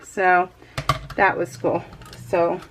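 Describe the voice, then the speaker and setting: A woman chatting casually to her viewers as a craft vlogger, in an excitable, rambling, giggly way. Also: fairly low pitch, drawn-out words, a little hiss or hum. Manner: addresses viewers familiarly. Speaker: craft vlogger hosting a scrapbooking video.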